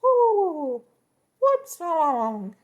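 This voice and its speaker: A woman voicing silly, easily startled pigeons in a children's story, with fluttery drawn-out coos. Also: very high voice, big pitch swings, voice pushed up, full character voice. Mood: inviting. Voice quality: cooing.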